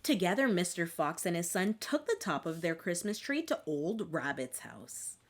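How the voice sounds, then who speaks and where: A woman reading a children's picture book aloud in an expressive, dramatic storytelling manner. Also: fairly low pitch, normal speed. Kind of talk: scripted storybook narration.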